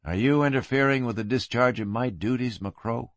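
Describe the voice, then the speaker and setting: A man's voice: medium pitch, very animated, measured pace, a bit bright, no echo. A man voicing a stern, unyielding lawman in an audiobook Western, giving curt, commanding orders. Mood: flat, cold.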